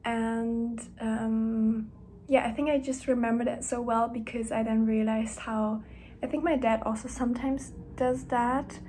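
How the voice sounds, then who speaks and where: A soft-spoken young woman chats through a personal diary vlog, her gentle, breathy voice often sinking to a whisper. She takes her time, unhurried and slow, and her pitch stays fairly level, sitting a touch high for a woman. There is a faint hiss behind her. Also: fairly even intonation. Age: young adult. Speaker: university student vlogger. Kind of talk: casual diary-style vlog chat.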